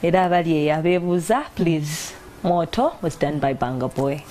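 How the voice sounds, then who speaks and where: A woman singer with a deep voice and a Ugandan accent, telling stories in a relaxed interview and mixing Luganda with English. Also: normal speed, slight background noise. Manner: mixes Luganda and English, reflective storytelling. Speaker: singer and guest. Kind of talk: casual interview talk.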